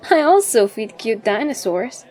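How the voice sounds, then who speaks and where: A woman plays a female mutant shelter keeper in a comic dub. Her voice is on the high side. She sounds casual, wry and kindly, teasing as she talks. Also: sing-song, unhurried, a little mellow.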